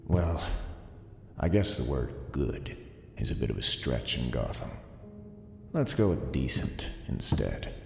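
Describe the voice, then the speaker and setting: Audio drama: brooding, tired cop, male actor, extremely gravelly, reverberant space with music. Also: extremely low pitch, sing-song, unhurried, full character voice. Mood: weary, wry. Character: weary, decent, brooding police officer. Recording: echoey long reverb, music underneath.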